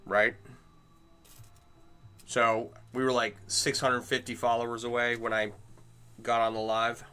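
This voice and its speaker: A man's voice: medium pitch, little pitch movement, unhurried, sharp tone, clean tone, soft background music. A middle-aged man chatting casually on a livestream while he works on chainmail, greeting and answering his viewers. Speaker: chainmail maker streaming live.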